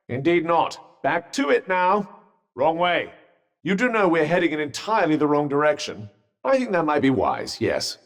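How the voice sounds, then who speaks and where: A man's voice: high-pitched, big-room sound. An actor voicing a sardonic male magic bracelet in a video game, delivering dry, teasing, understated quips.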